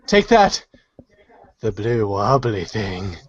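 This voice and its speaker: Man playing bossy vagabond, wildly animated delivery. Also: on the low side, takes it slowly, husky, dull audio, heavily acted. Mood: commanding. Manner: commanding, mock-formal. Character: bossy, pompous wandering vagabond issuing commands. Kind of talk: comic read-along storybook acting.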